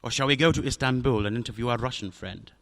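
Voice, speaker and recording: A male actor plays an older crime boss in a radio thriller. He is jovial but cunning, with a mid-pitched voice and pompous, florid, theatrical phrasing. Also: fast, fairly bright tone, slight background noise, close to an everyday voice. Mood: resigned, philosophical. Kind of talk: radio drama acting.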